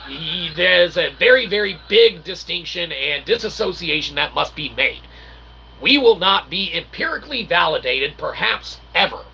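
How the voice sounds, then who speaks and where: A man speaks in a slightly high voice with heavy fry running through it. He rambles like an amateur philosopher, stacking up wordy, mock-formal phrases and punctuating them with little check-in tags. Clear noise sits behind him. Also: animated, moderate pace, pushed and bright, poor audio. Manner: verbose, mock-formal wording with constant "okay?" and "all right?" tags. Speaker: independent researcher and channel host. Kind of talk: rambling philosophical monologue to camera.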